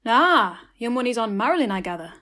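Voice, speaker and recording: A woman playing a showy, self-satisfied police inspector, measured and in charge, in a comedy audio drama. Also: fairly high pitch, very animated, sharp tone. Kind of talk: hobby comedy murder-mystery audio drama.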